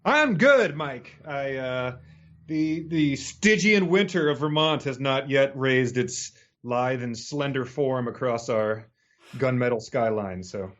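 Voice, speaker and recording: A man's voice: mid-range voice, animated, takes it slowly, a bit bright. A man co-hosting a podcast, chatting in an informal, jokey, rambling way. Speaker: podcast co-host. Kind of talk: casual chat, bantering about books. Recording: slightly dull, lo-fi audio.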